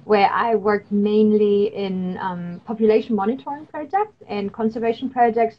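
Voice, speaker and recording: A woman in her thirties from Germany with a German accent, answering interview questions as a guest scientist, earnest and rambling. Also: mid-range voice. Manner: earnest, rambling with frequent fillers and restarts. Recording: dull lo-fi audio.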